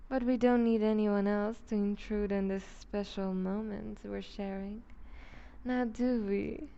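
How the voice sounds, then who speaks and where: A woman's voice: mid-range voice, fairly even intonation, a little slow, gravelly, mellow, smooth, muffled recording. A woman playing a seductive, dominant vampire duchess in an intimate ASMR roleplay, teasing and condescending, often slipping toward a whisper. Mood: coaxing, seductive.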